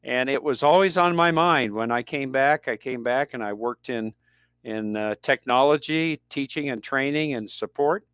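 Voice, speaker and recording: Older american guy talking about teaching languages, smooth resonant voice, dry sound. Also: medium pitch, moderate pace, a bit bright, dry recording. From United States. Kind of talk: interview conversation.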